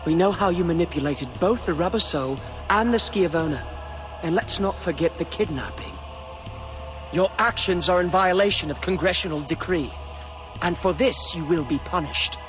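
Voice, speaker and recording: An actor playing a dignified, stern young marquis in a video game, speaking formally and with measured authority. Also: male voice, sits high, over music, lightly acted. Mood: stern, accusing. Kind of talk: dramatic video game acting.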